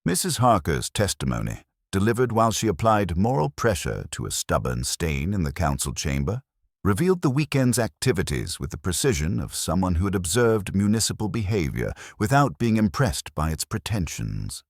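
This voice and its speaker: A man with a very deep voice narrates a detective mystery. His delivery is formal and measured, yet the pitch moves freely from phrase to phrase. The sound is dry and close, with very little room around it. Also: very animated, no echo.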